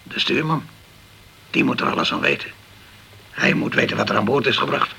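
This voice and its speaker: An actor playing a guilt-ridden, hard-drinking, middle-aged ship's captain in a radio crime drama, halting and defensive, stumbling over his words. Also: lowish voice, animated, creaky, bright, forceful tone, slightly rough, exaggerated voice. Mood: wary, probing.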